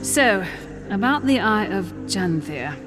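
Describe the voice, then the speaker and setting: Earnest, resolute hero commander. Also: female voice, mid-range voice, big pitch swings, takes it slowly. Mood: businesslike. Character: serious, principled commander hero, firm but compassionate. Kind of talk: video game dialogue. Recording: game music underneath.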